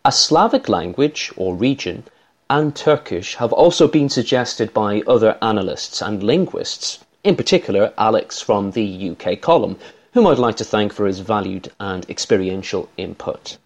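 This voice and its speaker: Measured Irish man, lowish voice. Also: animated. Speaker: voice analyst. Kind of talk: scripted analytical commentary.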